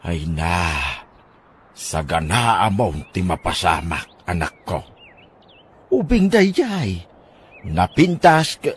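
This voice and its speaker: A man's voice: low voice, sing-song, a little hiss or hum, exaggerated voice. A man playing an elderly father who speaks tenderly and worriedly to his son in an Ilocano radio drama. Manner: slow, wavering.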